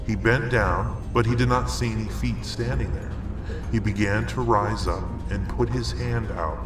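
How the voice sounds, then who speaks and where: A middle-aged man narrating a scary story in a deliberate, suspenseful, dramatic way. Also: lowish voice, fairly even intonation. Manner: slow, deliberate, suspenseful delivery. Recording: roomy echo, music underneath. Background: music.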